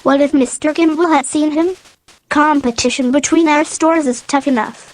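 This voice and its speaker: A woman plays a practical working mother in a radio drama. The voice is high and the manner crisp and no-nonsense, with faint music in the background. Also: fairly even intonation, normal speed, natural voice. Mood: wry. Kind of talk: old-time radio drama acting.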